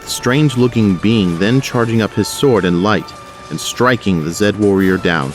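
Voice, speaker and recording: A man's voice: on the low side, normal speed, faint music behind. A man narrating a scripted audio drama.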